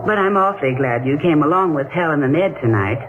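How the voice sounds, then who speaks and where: A woman's voice: low voice, animated, fast talker, heavy background noise. An American woman playing a hospitable, uneasy hostess in 1940s radio drama style. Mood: gracious. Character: hospitable, uneasy woman hosting New Year's Eve. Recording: muffled 1940s radio recording, music behind.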